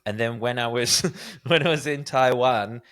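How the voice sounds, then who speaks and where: A man's voice: medium pitch, measured pace, fairly bright tone. A man hosting a podcast interview, telling candid, self-deprecating stories in a casual conversational way. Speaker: podcast host.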